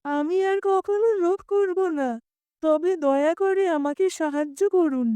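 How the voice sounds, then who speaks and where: A woman's voice: extremely high pitch, unhurried, mellow, no room sound, pitched above the performer's own voice. A woman voicing an earnest, pleading young boy in a children's cartoon. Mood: repentant pleading. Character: greedy, then repentant young boy in a cartoon.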